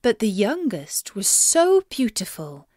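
A woman reading a fairy tale aloud to children in an expressive, deliberate storytelling manner. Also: a little high, dry recording, clean studio sound.

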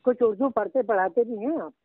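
An older man teaching Urdu literature, slowly and patiently dictating references to a student. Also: very high voice, no room sound. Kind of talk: guiding a student over the phone. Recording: tinny phone line.

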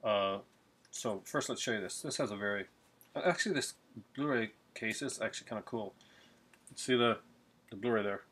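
Middle-aged male collector, slightly low and breathy, loose rambling unboxing chat, desk webcam. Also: normal speed, long pauses, fairly bright tone. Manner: rambling, many fillers and restarts. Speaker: collector unboxing a Blu-ray. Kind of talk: casual unboxing vlog. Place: small home room, indoors.

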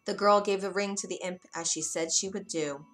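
A woman narrating a children's bedtime story in an expressive, gentle way. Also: medium pitch, brisk. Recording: soft music bed behind.

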